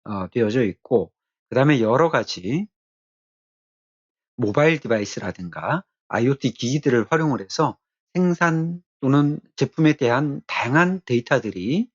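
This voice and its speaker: A male technical instructor lectures in a mid-pitched, husky voice. He explains in a deliberate, halting way, pausing often between short phrases. The recording sounds slightly dull and muffled. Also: lively intonation.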